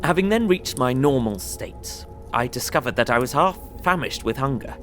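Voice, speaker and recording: A man playing an earnest young solicitor, narrating his travel diary in a measured, descriptive way for an audio drama. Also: medium pitch, lively intonation, a bit bright, lightly acted. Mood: relieved, measured. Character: young English solicitor recounting his journey, earnest, observant. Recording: prominent music under voice. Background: music.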